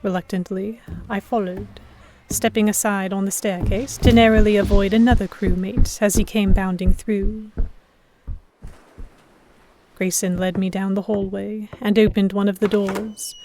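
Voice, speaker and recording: A woman's voice: medium pitch, a little mellow, a little hiss or hum, lightly acted. A woman playing a defiant, sheltered young lady held by pirates, narrating an audio drama in first person with articulate, literary phrasing. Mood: tense narration. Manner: articulate, literary, reflective storytelling.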